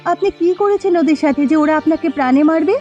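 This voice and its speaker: A woman's voice: extremely high pitch, fast talker, warm tone. A woman voicing a caring, anxious village housewife in a moral-story cartoon. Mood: concerned, helpful. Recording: dull lo-fi sound with music behind.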